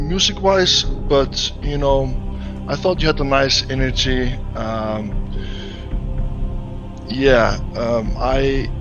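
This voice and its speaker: Slow, halting man with an Icelandic accent. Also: on the high side, dull audio. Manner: slow, halting, many fillers. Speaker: judge.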